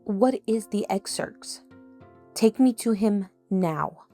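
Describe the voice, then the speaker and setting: A woman's voice: mid-range voice, lively intonation, slow pace, mellow, soft background music. A woman playing a resolute, guarded female fighter in a radio drama. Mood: guarded, demanding.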